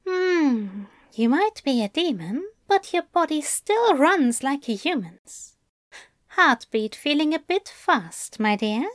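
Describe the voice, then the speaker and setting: A woman's voice: sits high, sing-song, slow, fairly bright tone, no room sound, clean studio sound, voice pushed up, heavily acted. A woman voicing a tender, teasing male angel lover in an audiobook, coaxing and fussily polite with endearments. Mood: gently teasing. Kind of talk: fan fiction audiobook character voice.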